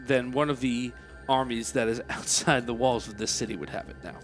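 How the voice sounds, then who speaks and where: Guy playing his character at a tabletop game, earnest, kinda halting, faint music. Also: mid-range voice, fairly even intonation. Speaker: player.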